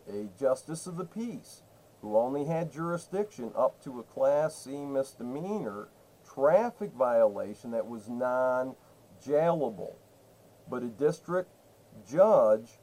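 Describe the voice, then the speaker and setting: A man speaks as a caller pressing a complaint with an official's office. His voice is mid-pitched and mellow, with a soft, dark tone. He is emphatic and insistent, repeating himself as he lays out his accusations. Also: rough recording. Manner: emphatic, repetitive, interrupting. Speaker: caller to a state senator's office.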